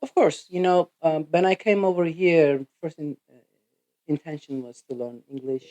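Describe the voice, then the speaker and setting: Turkish man, physical therapist guest, accented English, mellow tone, hesitant delivery, dry close recording. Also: on the high side, fairly even intonation, dry recording. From Turkey. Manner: halting, full of "you know" fillers. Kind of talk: podcast interview answers.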